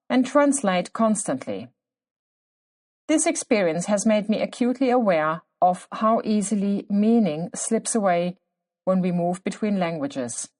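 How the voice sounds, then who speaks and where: A woman's voice: mid-range voice, a little mellow. German-accented woman hosting a podcast. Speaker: podcast host. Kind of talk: scripted solo podcast narration.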